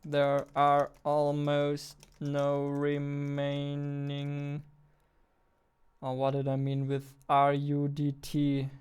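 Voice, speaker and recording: Young man with a clear, higher voice. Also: completely flat delivery, extremely slow, darkish tone. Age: 20s. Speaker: game developer live-streamer. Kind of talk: casual live-stream chat. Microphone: close studio mic on boom arm.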